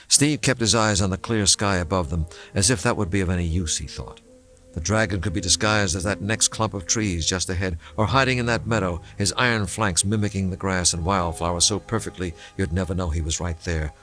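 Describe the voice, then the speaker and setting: Deep-voiced man narrating a thriller. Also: fairly bright tone. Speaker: audiobook narrator. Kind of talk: scripted dramatic narration of a thriller novel.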